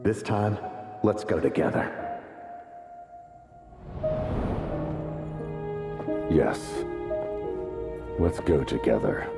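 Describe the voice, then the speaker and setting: An actor playing a grave, resolute warrior captain in a video game, speaking solemnly over music. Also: male voice, rumbling low, big pitch swings, slow, vocal fry, heavily acted. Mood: solemn resolve. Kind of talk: dramatic video game acting.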